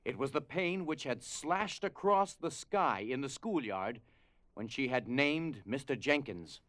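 A man reading dramatized storybook narration in a measured, solemn way. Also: mid-range voice, lively intonation, a bit bright, no room sound. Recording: music behind.

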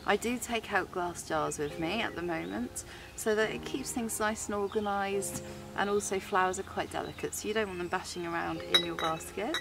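A woman in her thirties chatting casually and enthusiastically to camera as she hosts an outdoor vlog in the woods. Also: mid-range voice. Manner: enthusiastic, giggly. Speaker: vlog host, forager. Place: outdoors in a woodland.

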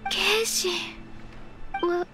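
A gentle horned half-beast maiden from an anime game. She is modest and formal, with a very high, soft voice and music clearly behind. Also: steady tone, drawn-out words, heavily acted. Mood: moved. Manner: soft-spoken, formal, reserved. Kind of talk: Japanese anime-style game dialogue.

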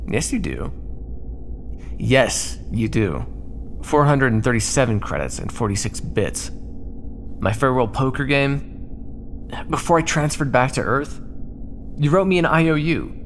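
A man's voice: mid-range voice, lively intonation, measured pace, pauses often, slight background noise. A young man acting out an ASMR roleplay in a teasing, bantering way.

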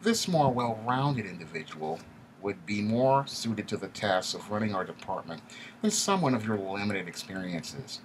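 A man's voice: on the low side, expressive, moderate pace, slight background noise, voice pushed up, close to an everyday voice, rough recording. A man voicing an arrogant, contemptuous male vice president in a story, with a twangy nasal, pompous delivery. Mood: condescending. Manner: pompous, jargon-heavy, condescending. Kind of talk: audiobook character dialogue.

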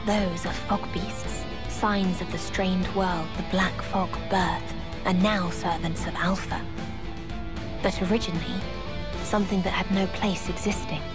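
A woman playing a composed, enigmatic guide in a video game, speaking in a measured, formal way. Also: on the low side, fairly even intonation, over loud music, natural voice. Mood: explanatory. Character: enigmatic, composed female guide of ancient knowledge.

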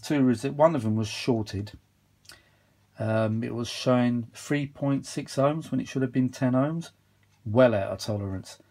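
A tired man with a husky voice, chatting casually and a little exasperatedly in a rambling hobby repair vlog, recorded indoors on a handheld camera. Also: medium pitch, darkish tone. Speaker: vintage audio hobbyist vlogger. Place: indoors, small home workshop. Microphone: handheld phone or camera behind the lens.

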